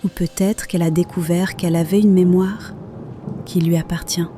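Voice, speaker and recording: A calm, wise woman confidant, played by a woman with a dark, mellow tone. She speaks in a gentle, probing way over faint music. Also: on the low side, moderate pace, close to an everyday voice. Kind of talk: scripted audio drama.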